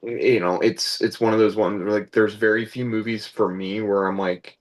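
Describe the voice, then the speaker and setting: A man's voice: lowish voice, steady tone, normal speed. A man taking part in a group discussion, giving his opinion in casual, conversational speech. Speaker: table read participant. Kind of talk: casual chat.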